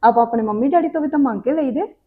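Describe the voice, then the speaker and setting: A woman's voice: high voice, very fast, phone-quality sound, natural voice. A woman playing a cheerful, easygoing young wife who teases and jokes in a home comedy sketch. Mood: playful. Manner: playful, teasing.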